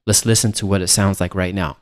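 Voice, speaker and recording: A man with a fairly low voice gives a music production tutorial. He explains each step casually, like an instructor walking a student through the software, speaking close to the mic. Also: flat delivery, quick pace, a little hiss or hum.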